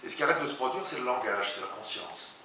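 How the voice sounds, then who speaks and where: A man, a translator and writer, being interviewed before an audience, speaking haltingly with many restarts. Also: mid-range voice, expressive, sharp tone. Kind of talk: public talk interview. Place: large echoey hall, before an audience. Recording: very echoey hall, far from mic, hiss.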